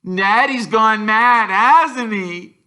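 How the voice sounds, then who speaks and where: A man reading a storybook, voicing a spoiled young boy who complains in a sulky, drawn-out whine. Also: high voice, bright, forceful tone, clear, resonant tone, pitched above the performer's own voice, exaggerated voice. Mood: dull, whiny.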